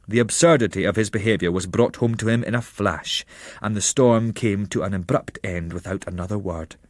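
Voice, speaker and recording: A man reading a literary travel memoir as narrator, in a measured, expressive storytelling manner. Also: on the low side, no room sound, clean studio sound.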